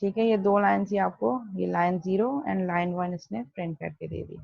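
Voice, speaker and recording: A woman giving an instructional coding lesson in a mid-pitched, gravelly voice. She explains step by step, posing questions and answering them herself. The sound is dry and somewhat muffled. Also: fairly even intonation, moderate pace, dry recording. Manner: didactic, step-by-step, poses and answers own questions. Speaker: programming teacher. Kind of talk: explanatory online coding lesson, Hindi-Urdu mixed with English terms.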